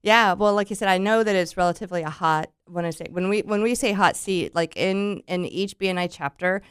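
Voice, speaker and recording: A woman's voice: on the low side, fairly quick, clean tone, no room sound. A woman hosting a casual radio interview in a studio, upbeat and chatty with plenty of fillers. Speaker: radio show host. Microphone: studio microphone.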